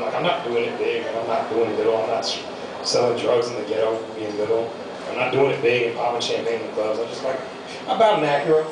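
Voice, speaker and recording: A man's voice: medium pitch, background noise. Young man doing stand-up in echoey room. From United States. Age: young adult. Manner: loose rambling delivery with fillers. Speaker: amateur stand-up comic. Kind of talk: stand-up set. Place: small comedy venue. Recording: echoey room, mic far off.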